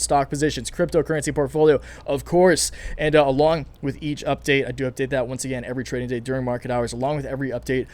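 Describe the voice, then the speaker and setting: A man giving casual, energetic stock and crypto commentary as a channel host, his voice a little raspy and his delivery quick and chatty. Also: medium pitch. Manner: fast, energetic, chatty, many fillers and restarts. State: slightly raspy from recording all day. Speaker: finance YouTuber. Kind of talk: casual market commentary to camera.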